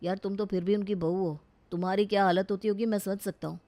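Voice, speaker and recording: A woman's voice: medium pitch, steady tone, fast talker, dark tone, close to an everyday voice. Woman voicing an exasperated young husband. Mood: weary frustration. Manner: exasperated, reasoning. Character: frustrated young husband caught between mother and wife. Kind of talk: Hindi animated family story acting.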